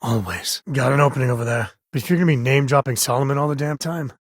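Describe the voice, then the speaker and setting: An actor voicing a gruff, wry spacefaring cowboy father in a video game, with a husky, raspy voice and folksy, informal delivery. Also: medium pitch, fast talker, no room sound, studio quality, close to an everyday voice. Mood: dry. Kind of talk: video game character voice lines.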